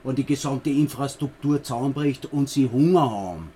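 Radio crime drama: grim village survivalist, played by a man, Austrian accent, medium pitch, self-assured, blunt. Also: normal speed, dark tone, slight background noise, close to an everyday voice. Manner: blunt, colloquial, ominous. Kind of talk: naturalistic radio play dialogue.

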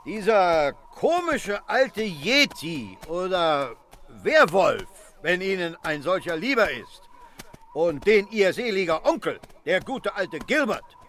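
An actor playing a gruff, pompous, irritable middle-aged British colonel of colonial days in a comic radio play. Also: male voice, sits high, very animated, slow pace, a bit bright, slight background noise, heavily acted. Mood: excited scheming.

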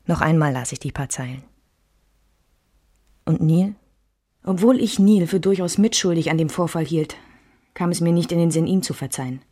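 An actress playing a reflective, wounded woman who recalls her married life in measured, intimate first-person radio drama narration. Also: lowish voice, mellow, no room sound, studio quality, lightly acted.

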